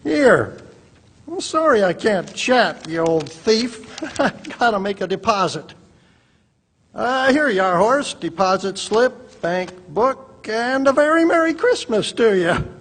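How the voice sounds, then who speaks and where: A scatterbrained old uncle in a live radio play, extremely high-pitched and raspy. He dithers, stutters and repeats himself in a folksy, excitable way, echoing in a large hall. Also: takes it slowly, full character voice. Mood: gleeful, teasing. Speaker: actor in a live radio drama. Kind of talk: old-time radio drama acting. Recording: reverberant theater stage recording.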